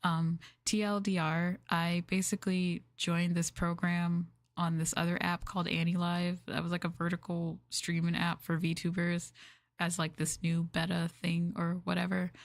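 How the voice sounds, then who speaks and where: A woman livestreamer chatting casually with viewers in a gentle, hushed, soft-spoken ASMR style, close to the microphone. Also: fairly low pitch, monotone, moderate pace, no room sound. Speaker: VTuber livestreamer.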